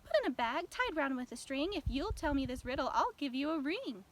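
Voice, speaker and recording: Cheeky storybook squirrel, small and rude, acted by a woman: very high, swooping, sing-song taunts. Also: sing-song, a little fast, rough recording. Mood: cheeky chanting. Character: impertinent, excitable little red squirrel singing riddles. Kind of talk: storybook character voice, chanted rhymes.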